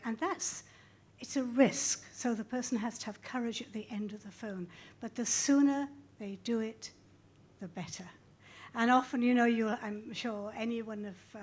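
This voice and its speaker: A woman with a fairly high, noticeably husky voice, speaking as a volunteer counsellor on a panel. She explains things earnestly and reflectively, sometimes restarting as she finds her words. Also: darkish tone.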